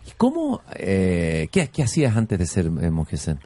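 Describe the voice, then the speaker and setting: A man with a Chilean accent hosting an interview show, speaking in an unhurried, expressive, literary way as he introduces and questions. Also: low-pitched. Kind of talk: reflective spoken introduction and conversational interviewing.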